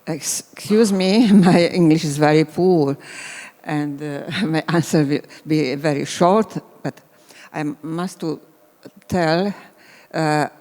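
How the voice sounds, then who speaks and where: A composer from former Yugoslavia, a woman whose first language is Hungarian, speaking halting English with a Hungarian accent and searching for words. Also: deep voice, dark tone. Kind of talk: hesitant panel talk. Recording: reverberant hall.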